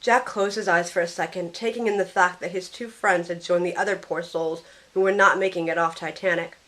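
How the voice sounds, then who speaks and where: A woman's voice: on the low side, steady tone, brisk, fairly bright tone, lightly acted. Woman acting a grief-stricken young shipwreck survivor. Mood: sorrowful. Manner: earnest storytelling, tearful in dialogue. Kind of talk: first-person scripted narration, amateur audio drama.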